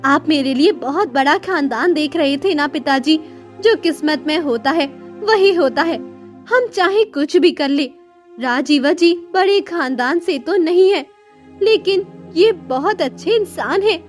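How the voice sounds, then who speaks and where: Dutiful elder sister for a moral cartoon, voiced by a woman: high pitch, earnest tone, faint musical backing. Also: animated, unhurried, soft background music. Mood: reassuring. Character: dutiful, self-sacrificing elder daughter. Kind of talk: cartoon story dialogue.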